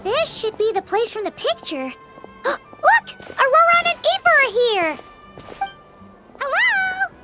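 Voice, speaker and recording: Squeaky, very high childlike fairy companion. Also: female voice, big pitch swings, slow pace, pauses often, bright, forceful tone, heavily acted. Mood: excited, surprised. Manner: refers to herself by name, exclamatory. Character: tiny childlike floating girl companion, bubbly and indignant. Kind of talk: video game voice acting. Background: game background music.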